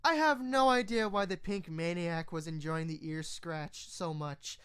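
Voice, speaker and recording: A woman's voice: fairly low pitch, measured pace, clear, resonant tone, close to an everyday voice. Woman playing a sarcastic courier man, casual, wry, crude, first-person storyteller. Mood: puzzled. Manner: casual, wry, swearing narrator. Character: sarcastic, crude adult male courier telling his tale.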